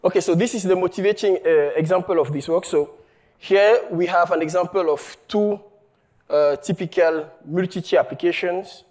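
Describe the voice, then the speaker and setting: A man from Cameroon, giving a conference talk on his research, halting often and checking in with the audience. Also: a little high, darkish tone. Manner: halting, many fillers and restarts, checks in with "okay?". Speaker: researcher presenting a paper. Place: conference hall.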